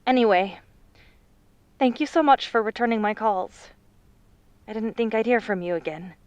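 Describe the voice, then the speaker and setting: A man's voice: high-pitched, moderate pace, smooth, no room sound, lo-fi sound, pitched above the performer's own voice, close to an everyday voice. A man voicing an anxious, pushy young woman who apologizes and rambles on the phone in an audiobook. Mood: apologetic.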